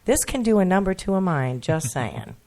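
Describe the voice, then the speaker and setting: A woman's voice: on the low side, big pitch swings, normal speed, a little mellow. A woman in her forties with a Rhode Island accent, a guest on a local radio talk show who laughs often and speaks earnestly. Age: 40s. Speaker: guest, children's meditation teacher. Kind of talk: casual radio interview.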